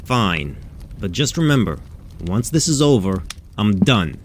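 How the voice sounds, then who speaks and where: A man's voice: lowish voice, very animated, unhurried, a little hiss or hum. A man acting as a showy game show host in an audio drama, with theatrical presenter patter. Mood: weary, resentful.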